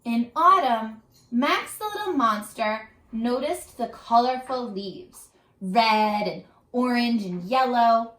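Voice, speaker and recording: A woman reads a story to children like a teacher. Her high voice rises and falls expressively at an unhurried pace. The room sounds echoey. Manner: expressive, asks the young listeners questions. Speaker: read-aloud teacher. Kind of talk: children's story read-aloud.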